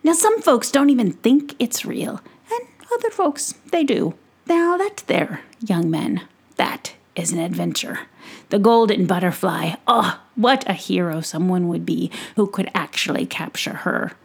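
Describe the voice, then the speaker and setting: A woman voicing a jolly, showy male pixie peddler who drags out his salesman patter in a booming voice. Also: animated, unhurried, creaky, breathy edge, exaggerated voice. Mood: teasing.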